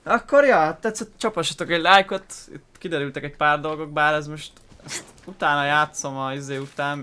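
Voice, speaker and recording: A man's voice: on the high side, sharp tone, smooth. A young man with a Hungarian accent giving casual, off-the-cuff gaming commentary, slangy and profane, rambling and trailing off. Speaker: gaming YouTuber.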